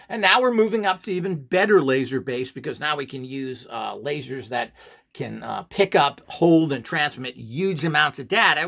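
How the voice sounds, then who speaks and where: A man's voice: on the high side, gravelly, a bit bright. A middle-aged or older man giving an unscripted, opinionated talk as a presenter, blunt, scornful and emphatic in delivery. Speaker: presenter of instructional videos.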